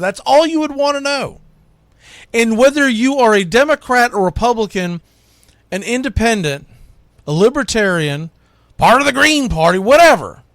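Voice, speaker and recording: A man's voice: a little high, a bit bright, no room sound. A man hosting a sports radio show, talking in an enthusiastic, emphatic and conversational monologue, often repeating phrases for effect. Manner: emphatic, conversational, with rhetorical repetition. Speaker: radio host and play-by-play announcer.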